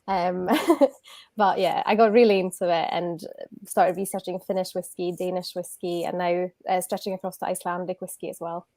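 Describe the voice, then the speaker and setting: A woman's voice: fairly low pitch, flat delivery, normal speed, dark tone, no echo. A woman from Sweden who writes about whisky, speaking on a video call as an enthusiastic, friendly guest. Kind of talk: interview answers. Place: home in Edinburgh, on a video call.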